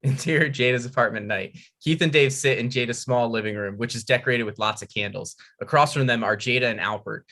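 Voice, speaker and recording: A man's voice: medium pitch, fairly quick, hardly any pauses, bright, forceful tone. A man reading screenplay stage directions as scripted narration.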